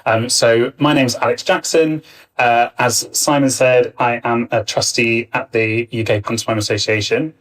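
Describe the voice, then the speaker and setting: Male panel chair, thirty, mid-pitched voice, moderating live, picked up from a distance. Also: fairly even intonation, moderate pace, a bit bright. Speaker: panel chair, writer and director. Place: arts centre theatre, live panel. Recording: distant, varying-quality backup live recording.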